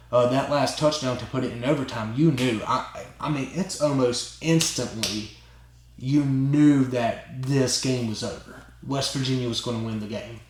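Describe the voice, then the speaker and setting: A man hosting his own channel tells a long story in a casual, excited way, rambling and often tagging lines with "right?" and "man". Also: medium pitch. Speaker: channel host. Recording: roomy echo.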